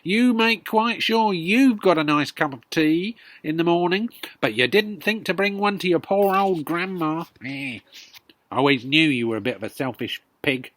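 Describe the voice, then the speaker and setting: A reader voicing a bossy, greedy old grandmother who shouts and scolds everyone in a storybook. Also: medium pitch, moderate pace, no room sound, natural voice. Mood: furious scolding. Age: older. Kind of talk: storybook reading.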